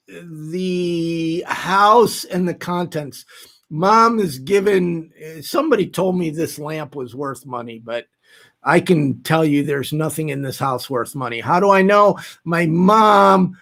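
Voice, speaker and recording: Male, late 50s, high-pitched voice. Earnest, emphatic livestream host, speaking candidly and off the cuff. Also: slow, fairly bright tone. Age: late 50s, nearly 60. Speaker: nonprofit founder hosting a livestream. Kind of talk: casual livestream talk to viewers.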